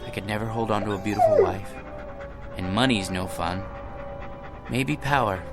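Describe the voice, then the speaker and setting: Weary millionaire with creaky voice. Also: male voice, fairly low pitch, wildly swooping pitch, normal speed. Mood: weary, wistful. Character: weary, generous, bored millionaire daydreamer, earnest and wistful. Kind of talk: radio drama acting. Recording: music under the dialogue.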